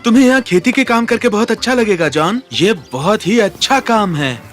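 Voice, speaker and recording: An earnest, touchy cartoon farmer. The voice sits high and firm, with light music underneath. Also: male voice, animated, normal speed, fairly bright tone. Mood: proud. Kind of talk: animated cartoon dub.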